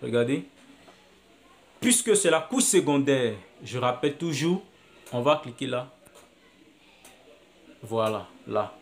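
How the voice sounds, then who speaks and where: A man's voice: mid-range voice, expressive, takes it slowly, pauses often. Man walking through an app tutorial. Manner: step-by-step, repetitive, thinking aloud. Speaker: video editing instructor. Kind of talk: screen-recorded app tutorial.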